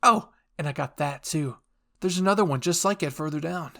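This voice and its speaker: An excitable woman scientist in an anime fan drama played by a man. The voice is pitched high and calls out loudly, full of eagerness. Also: normal speed, creaky. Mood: excited, eager. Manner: loud, eager calling out.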